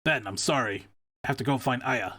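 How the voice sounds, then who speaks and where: A man's voice: mid-range voice, fairly quick, sharp tone. A man voicing a determined policeman father in a video game, reading his dialogue aloud. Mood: resolute. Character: gruff, determined policeman father searching for partner.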